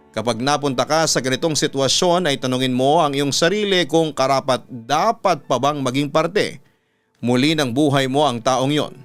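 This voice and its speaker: A man with a Filipino accent reading a dramatic story and advice as a host, in an earnest, measured way. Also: a little high, fairly bright tone, smooth. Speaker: radio announcer and story host. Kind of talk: dramatic scripted storytelling and advice, read aloud. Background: instrumental music under the voice.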